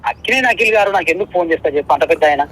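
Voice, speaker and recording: A man on a phone call, talking casually in an insistent, excitable way. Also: sits high, very fast, slightly rough. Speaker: stranger receiving a prank call. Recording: phone call with background noise.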